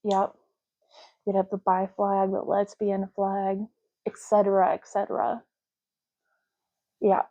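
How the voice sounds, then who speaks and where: A woman doing a soft-spoken ASMR roleplay as a clerk, explaining options in a casual, chatty way. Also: medium pitch, completely flat delivery, takes it slowly, long pauses, dull audio. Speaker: ASMR creator playing a clerk making ID cards.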